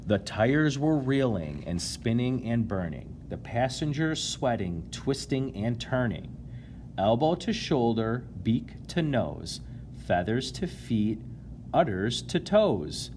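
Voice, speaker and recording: A man reads a picture book to children with very lively, changing intonation. He takes his time, stretching key words playfully and turning to chat with his listeners. There is faint noise behind his voice. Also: mid-range voice, slow pace. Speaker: storyteller reading a children's picture book aloud. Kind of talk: animated read-aloud to children, with asides to listeners.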